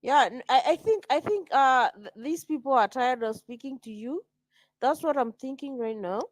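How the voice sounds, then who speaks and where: A woman hosting a casual livestream chat, teasing a guest and tripping over quick restarts. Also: high voice, animated, no echo. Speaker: livestream host.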